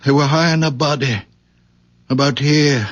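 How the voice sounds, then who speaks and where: An actor playing a very old man, a humble healer with visions, who speaks haltingly and repeats himself in a radio drama. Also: a little high, fairly even intonation, lightly acted. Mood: hushed wonder. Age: elderly. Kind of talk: 1970s radio drama acting. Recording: muffled, noisy old radio broadcast recording.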